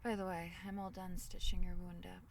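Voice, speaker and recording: Woman as a tiny female fairy, gentle, caring, soft storybook diction, creaky voice, ASMR roleplay, slightly distant mic. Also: fairly low pitch, level tone, a little fast, slight background noise. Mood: tender, reassuring. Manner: soft, soothing, slightly formal storybook phrasing.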